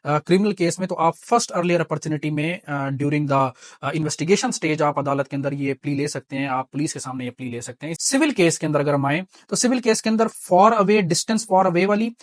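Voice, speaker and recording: A man's voice: a little high, moderate pace, dry recording. A man with a Pakistani accent giving an explanatory law lecture, mixing in English terms and teaching didactically through examples and repeated key points.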